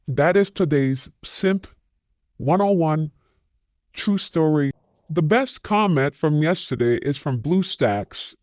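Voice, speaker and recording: A man's voice: a little high, darkish tone, dry recording. A man hosting a channel, reading online comments aloud and adding blunt, scornful opinions of his own.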